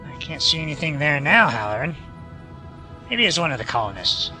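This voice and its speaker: A man playing a gruff, sceptical spaceship crewman in a sci-fi audio drama. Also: a little high, big pitch swings, brisk, bright, forceful tone, faint music behind, exaggerated voice. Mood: doubtful.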